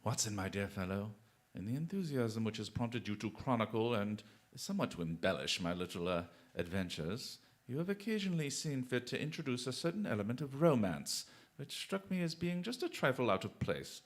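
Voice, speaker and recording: An actor playing a brilliant, playfully self-assured English gentleman detective in a radio drama, speaking in eloquent, exclamatory flourishes. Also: mid-range voice, very animated, fairly quick, natural voice. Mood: grave, eloquent.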